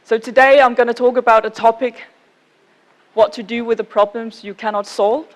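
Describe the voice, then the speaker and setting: A woman from Denmark with a Danish accent, presenting to a conference audience in an informal, measured manner and checking in with her listeners. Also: on the high side, fairly even intonation, highs cut off. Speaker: conference speaker.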